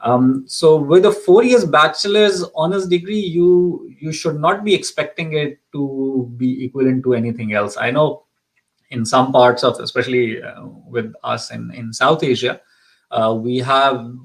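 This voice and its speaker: A man's voice: medium pitch, rough recording. A man from Pakistan hosting a live question-and-answer session as an immigration consultant, speaking with frequent ums and restarts. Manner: hesitant, many ums and restarts.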